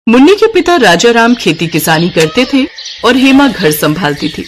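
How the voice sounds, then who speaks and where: A woman's voice: on the high side, sing-song, normal speed, slight background noise. A woman narrating a scripted moral story for a cartoon.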